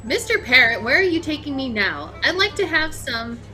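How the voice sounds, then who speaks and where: Casting: woman as questioning lady sidekick, bright high voice, radio mystery. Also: big pitch swings, normal speed, soft background music, exaggerated voice. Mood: puzzled, alarmed. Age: young adult. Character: Miss Fletcher, inquisitive female companion of detective. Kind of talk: live reading of old-time radio mystery script.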